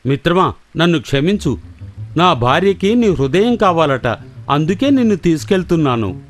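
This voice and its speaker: A man acts out an amiable, henpecked crocodile from a kids' cartoon. His pitch moves around a lot. Music plays underneath. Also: big pitch swings, moderate pace. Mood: apologetic. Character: friendly male crocodile, reluctant henpecked husband. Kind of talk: children's cartoon story voice acting. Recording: music behind the voice.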